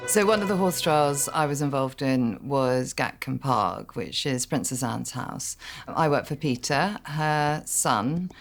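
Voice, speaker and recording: A woman's voice: extremely low pitch, animated, measured pace, faint music behind. A woman with a posh English accent talking to camera, confident. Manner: confident, name-dropping. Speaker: dinner party guest. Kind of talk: casual interview to camera.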